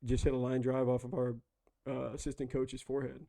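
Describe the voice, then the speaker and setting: A hoarse man chatting casually about a book with friends, rambling with lots of fillers. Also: medium pitch, completely flat delivery, brisk, warm tone, dry recording. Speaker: book club host.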